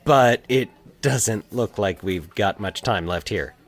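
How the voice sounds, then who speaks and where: A man playing a wistful young man in an audio drama, earnest and hesitant in manner. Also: lowish voice. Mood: eager, friendly. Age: young adult. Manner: halting, earnest.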